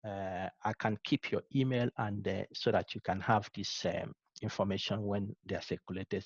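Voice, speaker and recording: Nigerian man, low voice, dull dry call audio. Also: no room sound. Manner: unhurried, thinks aloud with many ums and uhs. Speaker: course instructor. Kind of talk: closing remarks to an online class.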